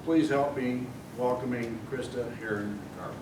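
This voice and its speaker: A man's voice: mid-range voice, moderate pace. A man hosting an event, formally introducing the guest speaker by listing her awards. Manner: reads out awards in a list. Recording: echoey room, distant mic, hiss.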